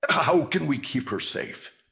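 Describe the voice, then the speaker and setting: A man playing a gentle noble husband and soldier, hushed and stunned, his voice breaking with fear. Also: a little high, lively intonation, slow, gravelly, exaggerated voice. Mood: afraid. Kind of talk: audio drama acting.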